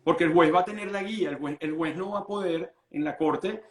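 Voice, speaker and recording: A Venezuelan-born lawyer explaining estate planning in an interview, patient and full of restarts. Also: male voice, a little high, brisk, echoey room. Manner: explains patiently, many restarts and repeated words.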